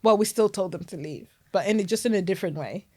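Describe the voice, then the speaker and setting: A woman's voice: medium pitch, quick pace. A woman from Zimbabwe, co-hosting a podcast and giving casual, outspoken advice. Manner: animated and outspoken, passionate. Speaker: podcast co-host.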